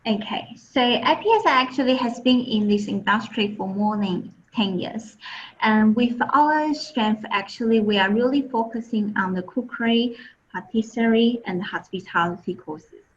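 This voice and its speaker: A woman's voice: fairly high pitch, normal speed. A woman from Taiwan, whose first language is not English, presenting a webinar as an admissions officer with a friendly, hesitant manner. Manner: friendly, hesitant, with many fillers. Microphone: video call. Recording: muffled video call with faint noise.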